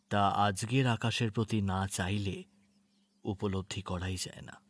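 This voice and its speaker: A man narrating a literary story in a measured, expressive, dramatic way. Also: mid-range voice, no room sound. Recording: music bed under narration. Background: background music.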